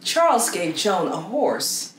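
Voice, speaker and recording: A middle-aged woman reading a story aloud for children in an expressive, deliberate way with dramatic pauses. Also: fairly low pitch. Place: small indoor room against a plain wall. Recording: roomy echo with faint background noise.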